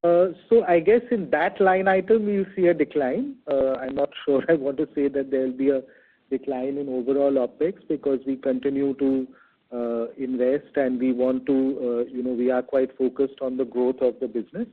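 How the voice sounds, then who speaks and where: A man with an Indian accent answering questions as a bank executive on an earnings call, speaking in a halting, measured, hedging way over a phone conference line. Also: mid-range voice, steady tone, phone-quality sound. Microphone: telephone conference call.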